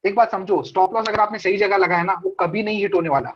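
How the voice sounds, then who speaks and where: A man teaching trading over a video call, his voice pitched high and a little dull through lo-fi call audio. He talks in a chatty way, mixing Hindi and English and repeating his points. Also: quick pace, a little hiss or hum. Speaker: trading mentor. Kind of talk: casual online trading class.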